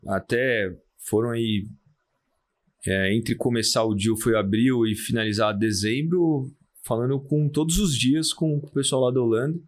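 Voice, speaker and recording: A calm Brazilian man in his thirties speaks as a podcast guest. His mid-range voice moves in a halting way, with many restarts and little tag questions. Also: medium pitch. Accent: Brazilian Portuguese. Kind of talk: casual interview talk.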